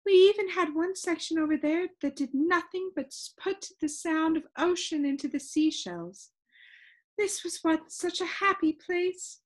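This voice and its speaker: A woman's voice: very high voice, sing-song, normal speed, pitched above the performer's own voice, poor audio. A woman voicing a bossy, fussy lady keeper of sounds from a children's storybook, with a theatrical, commanding manner. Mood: wistful.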